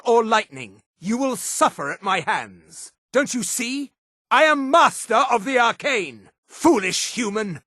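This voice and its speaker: An actor playing an arrogant, disdainful high elf mage in a video game, with an imperious, theatrical delivery and a raspy voice. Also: male voice, no echo, clean studio sound. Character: haughty high elf mage, arrogant adult male supremacist. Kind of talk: video game character voice lines.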